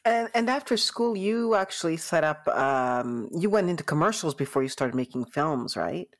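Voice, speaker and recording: A woman's voice: low-pitched, lively intonation, moderate pace. A woman hosting an interview, asking follow-up questions and reacting with short encouraging remarks. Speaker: podcast host.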